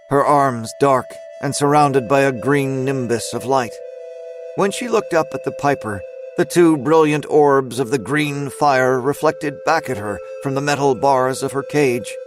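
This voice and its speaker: A man narrating a scripted dramatic story as its storyteller, in a measured, suspenseful manner. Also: medium pitch, close to an everyday voice.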